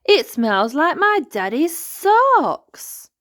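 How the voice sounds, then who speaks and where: A woman voicing an enthusiastic little boy pony in a storybook, exclaiming in drawn-out words. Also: high voice, very animated, fairly bright tone, pitched above the performer's own voice, full character voice. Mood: disgusted.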